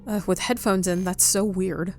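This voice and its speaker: A woman's voice: medium pitch, steady tone, normal speed, a little mellow, faint music behind. A woman giving casual, conversational commentary as she plays through a game. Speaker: game streamer. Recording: faint background noise and game ambience.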